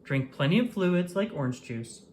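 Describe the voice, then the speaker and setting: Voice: mid-range voice, expressive, moderate pace, a little mellow, a little hiss or hum, lightly acted. A storybook reader voicing a kind, cheerful woman doctor in a friendly, reassuring manner.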